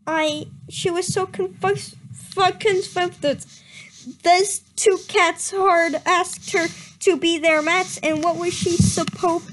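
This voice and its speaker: Slow, giggly young woman reading aloud. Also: extremely high pitch, fairly bright tone, a little hiss or hum, poor audio. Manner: breaks into laughter, stumbles over misspelled words, reads slowly. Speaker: reader. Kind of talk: comedic dramatic reading of fan fiction.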